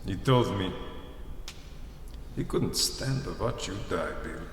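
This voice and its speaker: An actor playing a cold executioner with a reluctant soft spot, speaking in terse, halting phrases in a radio drama. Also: male voice, low voice, expressive, exaggerated voice. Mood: somber regret. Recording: roomy long echo with faint noise.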